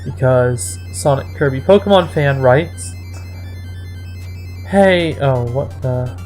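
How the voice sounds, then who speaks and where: A mid-pitched reader delivers a mocking, dramatic read-aloud. The delivery slips into exasperated commentary, with music playing behind the voice. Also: takes it slowly, a little mellow. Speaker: host reading fan fiction aloud.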